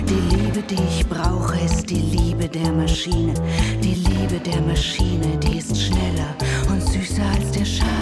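Rapt female devotee, slow incantation, sensual, radio drama, loud plucked-string music. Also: lowish voice. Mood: rapt, devotional. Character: devout woman adoring a machine, rapt and sensual. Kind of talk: chanted, musical recitation in a German radio drama.

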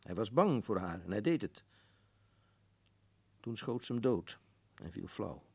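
A man's voice: fairly low pitch, very animated, a little slow, gravelly, a little mellow, husky, exaggerated voice. A man playing an irritable, stubborn colonel who runs a prison, in a Dutch radio drama, speaking emphatically and dismissively. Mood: matter-of-fact. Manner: emphatic, dismissive, repeats words insistently. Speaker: actor in a radio play.

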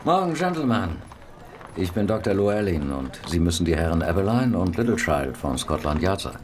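An actor playing a dry, unflappable Victorian police doctor in a radio play, matter-of-fact and clinical. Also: male voice, low voice, big pitch swings, a little fast, slight background noise. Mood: dry, sardonic. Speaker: actor in an audio drama. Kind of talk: German radio play acting.

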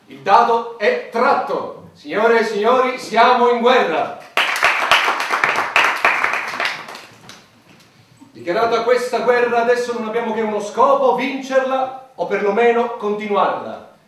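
A bombastic president in a satirical radio play, declaiming formally in a high male voice. The sound is distant and heavily echoing, as in a big hall. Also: animated, normal speed, a bit bright. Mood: grandly proclaiming. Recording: echoey hall, distant microphone.

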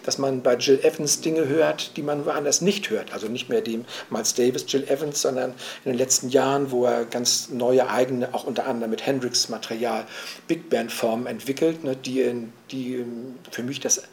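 A man arguing a point at length in a reflective, lecturing way, pausing and restarting often as he searches for words. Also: mid-range voice, steady tone, darkish tone, a little hiss or hum. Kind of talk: reflective spoken argument, recorded for a radio production.

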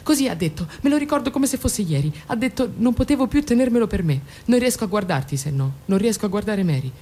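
A woman's voice: mid-range voice, expressive, quick pace, warm tone, breathy edge. An actress in a radio drama playing an anxious, loyal middle-aged mother who hesitates, stammers and repeats her words. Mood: earnest, anxious. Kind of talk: Italian radio drama acting.

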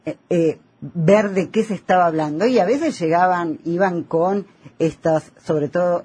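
A man's voice: fairly high pitch, breathy edge. A man with an Argentine accent hosting a conversational radio interview. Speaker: radio host.

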